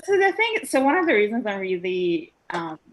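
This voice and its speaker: A woman from Iran speaks up at an online meetup, her voice at an ordinary middle pitch. She circles her question with fillers and restarts. The sound comes through a video call. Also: sing-song, normal speed. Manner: hesitant, many fillers and restarts. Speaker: meetup attendee asking a question. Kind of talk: casual question in an online meeting.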